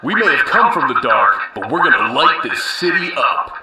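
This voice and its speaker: An actor voicing a hot-headed, defiant mutant brawler from the sewers in a cartoon. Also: male voice, mid-range voice, big pitch swings, moderate pace, close to an everyday voice. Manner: defiant, shouting. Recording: echoey, distant, noisy background.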